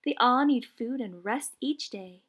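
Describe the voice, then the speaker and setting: A woman preschool teacher reading a children's picture book aloud in short, deliberate phrases for young listeners. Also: on the high side, fairly bright tone.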